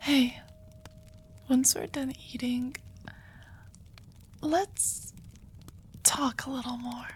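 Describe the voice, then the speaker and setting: A woman voicing an anxious, devoted young female vampire who confesses her love in a soft, hesitant whisper, in an intimate audio roleplay. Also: sits high, fairly even intonation, extremely slow, pauses often, slight background noise. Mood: tender, confessing.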